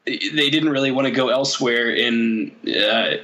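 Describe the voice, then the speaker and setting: A man, a powerlifting coach, talking as a podcast guest in a relaxed, rambling way with many pauses. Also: medium pitch, poor audio. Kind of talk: casual podcast conversation.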